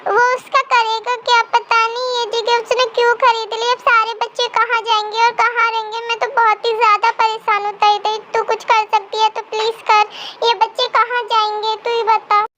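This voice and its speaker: A woman's voice: extremely high pitch, little pitch movement, moderate pace, muffled recording, noisy background. A woman playing a distraught female orphanage caretaker in an amateur audio story. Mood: distressed.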